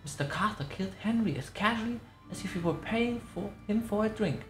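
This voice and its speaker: A woman's voice: medium pitch, expressive, fairly quick, soft background music, close to an everyday voice. A woman voicing an elderly matriarch who recounts a tragic night as a folksy, dramatic storyteller. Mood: grave, dramatic. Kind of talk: dramatic reading of a game newspaper. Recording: roomy echo.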